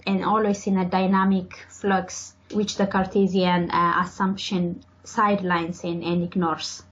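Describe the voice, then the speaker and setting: Young woman from Ethiopia, interview guest, slow and thoughtful, a bit muffled. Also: on the low side, little pitch movement. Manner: thoughtful, hesitant with fillers and repeated words. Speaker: podcast guest, PhD student. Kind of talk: interview answers.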